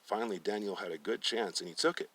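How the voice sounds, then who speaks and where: A man's voice: on the low side, quick pace, fairly bright tone, breathy edge, no echo, far from the mic. A man narrating fiction aloud as a storyteller, often stumbling and rereading phrases. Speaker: storyteller reading a book aloud.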